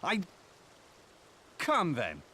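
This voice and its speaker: An actor playing a suave, sardonic, defiant rogue in a fantasy video game. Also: male voice, fairly high pitch, huge pitch swings, drawn-out words, sharp tone, slight background noise, heavily acted. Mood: wry, defiant. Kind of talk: dramatic video game acting.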